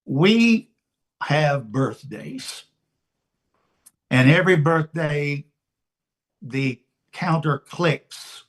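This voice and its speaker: A man in his seventies preaching an informal sermon as a pastor, deliberate and emphatic, pausing and repeating his phrases. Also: a little high, expressive. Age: 70s.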